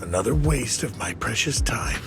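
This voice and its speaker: An actor voicing a haughty, ambitious, impatient family heir in a video game, disdainful and determined. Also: male voice, mid-range voice, animated, normal speed, over loud music, lightly acted. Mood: scornful, resolute. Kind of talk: scripted video game dialogue.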